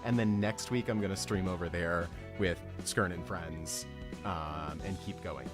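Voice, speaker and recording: A man's voice: deep voice, fairly even intonation, measured pace, music in the background. A man hosting a stream read-along in casual, informal chat. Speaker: stream host and narrator.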